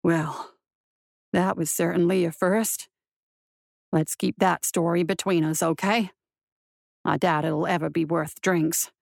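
A woman voicing a gruff, weary male marshal in an audiobook, grumbling in a dry, wry way. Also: on the low side, fairly even intonation, slow pace, pauses often, a little mellow, dry recording, voice pushed down, heavily acted. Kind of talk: audiobook character voice.

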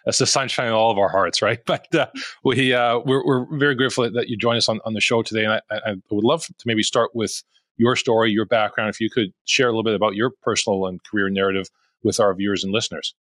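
Man, podcast host, quick and friendly, lowish voice, stumbles a little. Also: fairly even intonation, fairly bright tone, no echo. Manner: friendly, stumbling with fillers and laughs. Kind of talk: casual podcast hosting.

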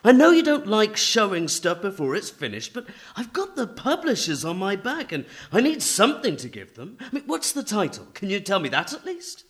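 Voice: mid-range voice, huge pitch swings, moderate pace, few pauses. An actor playing an anxious, chummy publishing agent in a radio play, emphatic and placating. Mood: pressing, apologetic.

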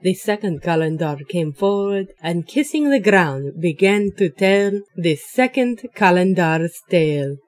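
A woman's voice: lowish voice, expressive, a little slow, few pauses, a little mellow, slight background noise. A woman playing a storyteller of old Arabian tales, narrating an audio drama in formal, archaic phrasing. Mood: measured storytelling.

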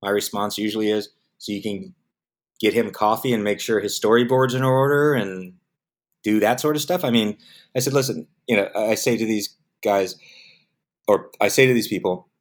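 A middle-aged man from the United States, a film producer speaking in a casual interview, telling stories and acting out the voices of people he quotes. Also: normal speed. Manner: animated storyteller, acts out quoted voices with jumps in pitch; repeats words when restarting.

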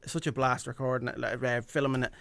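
A man's voice: mid-range voice, level tone, quick pace, creaky, a bit bright, no echo, studio quality. An Irish man talking casually as a guest in a radio interview. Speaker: guest, impressionist and event MC.

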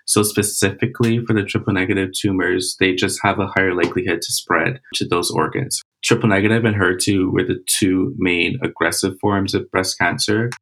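A man, a cancer researcher giving measured, explanatory interview answers with "uh" and "you know" fillers. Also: deep voice, fairly even intonation, fairly bright tone, low-quality recording. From Barbados.

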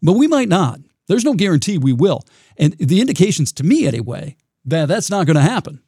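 A man with a raspy voice hosting his own podcast, talking in a reflective, rambling way with frequent restarts. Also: high-pitched, very animated, brisk, dark tone, no echo, studio quality. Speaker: podcast host. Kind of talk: solo podcast monologue.

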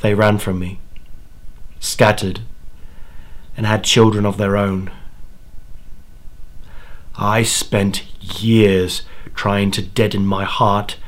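A man performs an old grandfather on his deathbed, full of anguish. His speech is low and slow, breaking and repeating as he confesses. The delivery is dramatic horror storytelling. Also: little pitch movement. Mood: sorrowful confession. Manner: halting, repeats words, dramatic. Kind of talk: dramatic first-person horror monologue.

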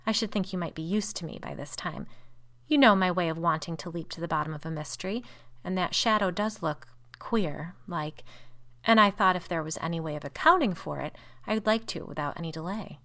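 A woman's voice: on the low side, a little fast, a bit bright. A brusque, volatile man in a gothic tale, read by a woman. He is assertive and puts on a breezy unconcern that sounds forced. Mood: forced ease.

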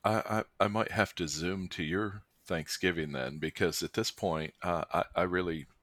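A man's voice: low voice, steady tone, moderate pace, a bit bright, dry recording. A man co-hosting a podcast in a casual chat, talkative and self-correcting, laughing easily as he introduces a guest. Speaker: podcast co-host.

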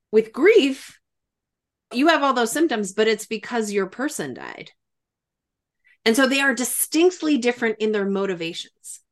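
A woman hosting a reflective interview, speaking in an emphatic, explanatory way, as a former clinician drawing on experience. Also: mid-range voice. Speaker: podcast host and former clinician.

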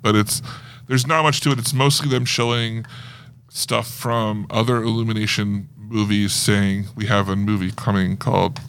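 A man with a fairly low voice speaks as a podcast co-host. He talks in the casual, unscripted style of a film review chat. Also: steady tone, moderate pace, fairly bright tone, clean tone.

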